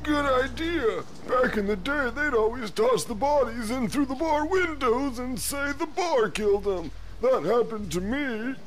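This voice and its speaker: A doddering old man of bygone days in a comedy radio play. The voice is extremely high and rambles fondly about the past. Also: expressive, a little slow, few pauses, a little hiss or hum, low-quality recording. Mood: nostalgic, chatty. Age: older. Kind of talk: comedic audio drama acting.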